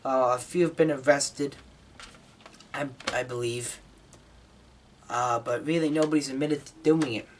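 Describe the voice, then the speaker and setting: A man's voice: mid-range voice, steady tone, a little slow, long pauses, slight background noise. Casual man talking off the cuff. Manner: informal, opinionated, mildly swearing. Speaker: shortwave radio hobbyist host. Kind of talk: casual, unscripted monologue.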